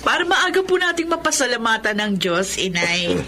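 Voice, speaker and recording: A woman's voice: on the high side, big pitch swings, moderate pace. A woman playing a dutiful, hopeful young daughter, polite and emotive, in a Tagalog radio drama. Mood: hopeful, thankful. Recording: clearly noisy background.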